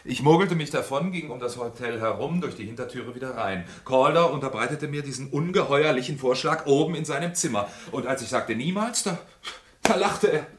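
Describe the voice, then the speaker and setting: An actor playing an unhinged, obsessive gentleman murderer in a radio crime drama, speaking in a halting, courteous way with menace. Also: medium pitch, lively intonation, slight background noise, natural voice. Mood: confessing, uneasy.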